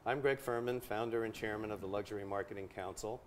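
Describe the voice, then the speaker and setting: A man's voice: lowish voice, fairly even intonation, fast talker, slight background noise. A man hosting an interview show, welcoming his guest and asking about her life, with frequent "uh" fillers and encouraging short replies. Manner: warmly encouraging, many "uh" fillers and short backchannels. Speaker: interview host. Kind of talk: hosted interview.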